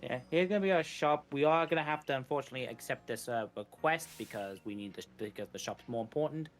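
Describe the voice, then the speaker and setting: A man's voice: medium pitch, fast talker, soft background music. Wry man co-commentating a speedrun. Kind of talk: casual live game commentary.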